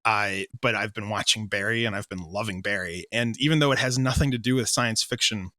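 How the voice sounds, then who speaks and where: Bright-voiced man, dry close sound. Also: on the low side, brisk, no room sound. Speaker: audio drama creator and director, interview guest. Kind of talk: casual interview chat.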